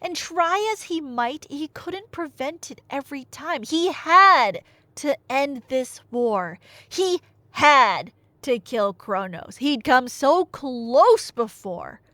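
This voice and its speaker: A young woman retelling a tale as a chatty, theatrical storyteller, with emphatic delivery, dry humor and dramatic pauses. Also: high-pitched, expressive, sharp tone, no room sound. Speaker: audio storyteller playing a nerdy friend. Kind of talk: casual dramatic retelling of myth, as ASMR roleplay.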